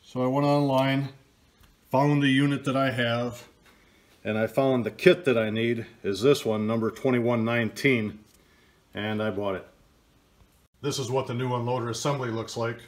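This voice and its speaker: An older man walks through a pump repair step by step, in a plain, practical tone. His voice sits at an ordinary middle pitch, and he talks casually into a camera mic in a workshop. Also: steady tone, normal speed. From Michigan, US. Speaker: DIY video host. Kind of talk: casual how-to narration. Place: workshop, table of pump parts.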